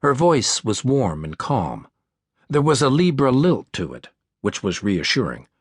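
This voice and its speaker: A man's voice: medium pitch, big pitch swings, a little slow, breathy edge, no echo. A man reading a thriller audiobook as scripted narration, close on a studio microphone. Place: dry studio.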